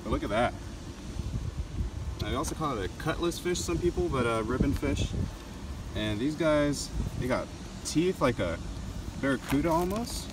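A man narrating a casual fishing vlog outdoors, speaking off the cuff in a halting way. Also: mid-range voice, animated. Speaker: angler vlogger. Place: outdoors at night on a waterside concrete edge. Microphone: handheld camera or phone, voice off camera. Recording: distant voice, loud outdoor ambient noise.

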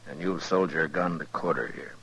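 A weary American town marshal in a 1950s radio western. His very low male voice is stern and grave, and he speaks in terse phrases. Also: fairly even intonation, moderate pace, a bit bright, slightly rough, full character voice, low-quality recording. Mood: grim, guarded. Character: stern, weary Old West town marshal, adult man.

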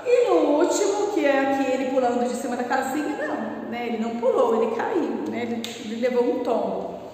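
A woman's voice: high voice, lively intonation, a little fast, mellow. A woman in her thirties with a Brazilian Portuguese accent, a primary school teacher correcting workbook exercises, patiently explaining and asking the class questions. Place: classroom in front of a whiteboard, indoors. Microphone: far camera. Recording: roomy classroom echo, from a distance.

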